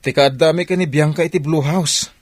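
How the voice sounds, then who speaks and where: A man's voice: a little high, steady tone, fairly quick, husky, dry recording. A man with an Ilocano accent playing a troubled, hesitant husband in a radio drama. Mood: worried.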